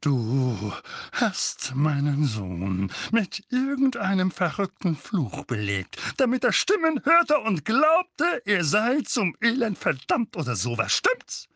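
A man's voice: a little high, big pitch swings, drawn-out words, breathy edge, dry recording, studio quality, pitched above the performer's own voice, exaggerated voice. A man doing a blustering, barking uncle. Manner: loud, barking, spluttering. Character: blustering, bad-tempered middle-aged uncle.

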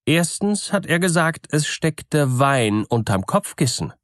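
Man as a sly, high-voiced peasant trickster. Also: sing-song, slow pace, no room sound, studio quality. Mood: knowing. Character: sly, smooth-talking peasant fortune-teller trickster, male.

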